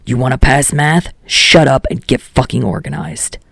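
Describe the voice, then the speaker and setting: A man acting out a hot-headed, foul-mouthed teenage boy from an audiobook. He is blunt and scolding, with a husky growl full of gravel, captured close and dry. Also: mid-range voice, normal speed, a bit bright, no room sound. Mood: irritated. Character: brash, foul-mouthed, short-tempered teenage boy tutoring a classmate. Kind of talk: acted dialogue in fan fiction audiobook.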